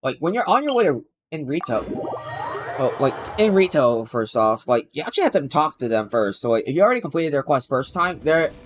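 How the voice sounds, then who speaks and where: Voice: mid-range voice, big pitch swings, fairly quick, a little hiss or hum. A game streamer giving casual, rambling commentary while playing, often exclaiming in mild bewilderment. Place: indoors, live stream with game music behind the voice.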